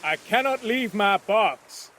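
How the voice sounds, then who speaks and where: A man's voice: sits high, expressive, slow, sharp tone, voice pushed up, heavily acted. A man voicing a frightened, half-mad male passenger who clings desperately to his belongings.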